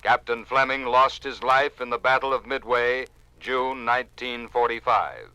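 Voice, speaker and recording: A man's voice: mid-range voice, a little slow, sharp tone, clear, resonant tone, dry recording, poor audio. A man announcing in a formal, citation-like style on a 1950s radio program.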